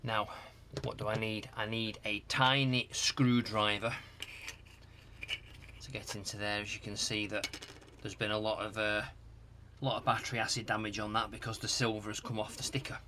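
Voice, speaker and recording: A middle-aged British man giving casual hands-on repair commentary, musing aloud in a halting, hesitant way. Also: lowish voice, little pitch movement, pushed and bright, slight background noise. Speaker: host of a retro tech repair channel. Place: home workshop loft.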